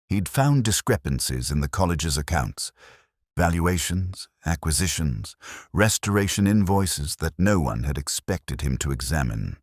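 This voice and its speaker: A man playing a melancholy detective who recalls a case in measured, literary first-person mystery narration. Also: rumbling low, breathy edge, dry recording, clean studio sound, full character voice. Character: melancholy older male English police detective recalling a case. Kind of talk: scripted first-person mystery narration, radio drama style.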